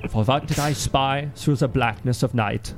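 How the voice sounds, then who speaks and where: A man playing a solemn, gloomy German cultural ambassador with a German accent, giving a dramatic comic reading and pedantic deadpan explanations. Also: mid-range voice, moderate pace, natural voice. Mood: ominous. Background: music behind the voice.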